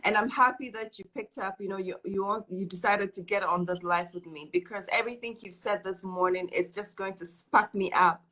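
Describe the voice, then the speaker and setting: A woman's voice: mid-range voice, a little fast, few pauses, sharp tone, poor audio. A woman hosting a live online show, chatting casually and showering her guest with encouragement, often repeating herself. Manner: effusive, encouraging, repeats phrases. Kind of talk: casual live-stream chat.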